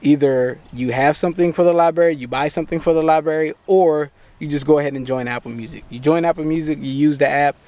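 Relaxed man explaining from his car. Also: on the high side, quick pace, hardly any pauses, dry recording. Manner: relaxed, patient, talks viewers step by step. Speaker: tech channel host. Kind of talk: casual how-to walkthrough. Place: inside a parked car, daytime.

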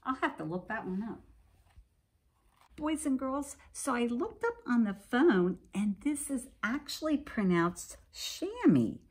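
An American woman, a middle-aged preschool teacher, reading a picture book aloud to young children and pausing to explain things simply. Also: on the high side, big pitch swings, darkish tone. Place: indoors, classroom.

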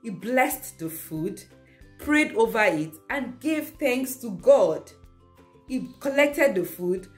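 A woman's voice: on the high side, slow, soft background music. A young woman teaching a children's church class, retelling a Bible story to small children with lots of repetition and questions. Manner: animated, repeats key phrases for kids. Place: indoor room at home.

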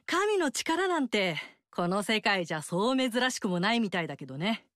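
A woman's voice: mid-range voice, very animated, moderate pace, a bit bright, dry recording. An actress voicing a brash, motherly fire-goddess warrior woman in a studio game scene, talking with bold, teasing, big-sister swagger. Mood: warm. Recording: dry studio recording.

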